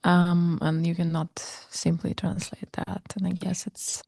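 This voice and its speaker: A woman hosting a podcast interview, responding with quick agreement and musing on the conversation. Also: fairly low pitch, level tone, vocal fry, a little mellow, husky, no echo, clean studio sound. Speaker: podcast host.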